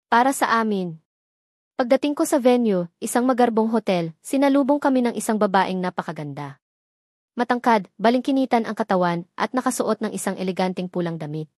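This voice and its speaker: A woman's voice: fairly high pitch, dry recording, clean studio sound. A woman with a Filipino accent reading a scripted first-person romance story as a storyteller.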